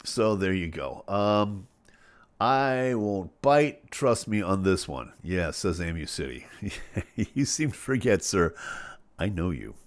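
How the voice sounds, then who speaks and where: A man hosts his weekly live stream with a slightly hoarse, froggy voice from a head cold. He chats casually and jokingly while reading viewer comments aloud, his pitch swinging widely and now and then jumping into loud, playful bursts. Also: slow. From Chicago area. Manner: jokey, playful, animated delivery with sudden loud bursts.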